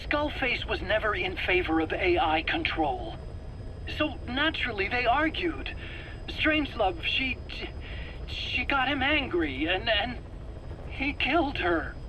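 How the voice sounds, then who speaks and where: Cowardly scientist for a game, high, sharp voice swinging widely, stammering and whiny, faint noise. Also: male voice, very animated, slow, heavily acted, poor audio. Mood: frightened, protesting. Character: nervous, defensive, cowardly male scientist under interrogation. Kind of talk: video game cutscene acting.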